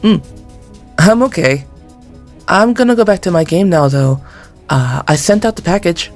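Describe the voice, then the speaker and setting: A woman's voice: fairly low pitch, animated, moderate pace, a little mellow, soft background music. A woman voicing a nervous young male gamer in an audio drama, hesitant and stammering. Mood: flustered.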